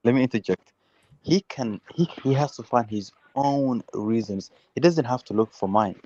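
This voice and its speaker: A man's voice: medium pitch, moderate pace, mellow, lo-fi sound. A man from Uganda hosting a heated casual football debate, insistently repeating words and restarting his sentences.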